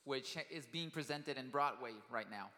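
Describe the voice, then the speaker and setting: Bright-voiced male sermon interpreter. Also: mid-range voice, normal speed. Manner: follows phrase by phrase, with brief hesitations. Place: conference hall stage. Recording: large hall reverb.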